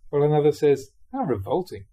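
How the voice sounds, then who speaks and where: A man reading Buddhist teachings aloud like a monk giving a dharma talk, with measured, emphatic phrasing. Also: a little high, big pitch swings, dark tone, slightly rough.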